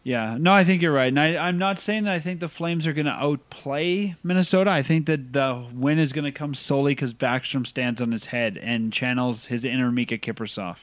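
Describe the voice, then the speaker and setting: A man's voice: mid-range voice, a little fast, hardly any pauses, dry recording. A man hosting a casual sports podcast, chatting in an upbeat way about hockey. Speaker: podcast host.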